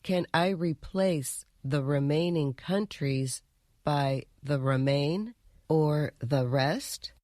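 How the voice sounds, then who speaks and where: A reader with an androgynous, mid-range voice reads aloud from a script. The delivery is very slow and carefully enunciated, in a dry, close recording. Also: mid-range voice, darkish tone, no room sound. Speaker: reader of listener's letter and examples.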